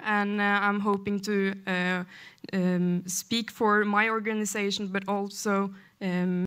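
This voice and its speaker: A woman's voice: mid-range voice, a bit bright, clean tone. A woman from Norway, speaking hesitantly on a conference panel with frequent fillers.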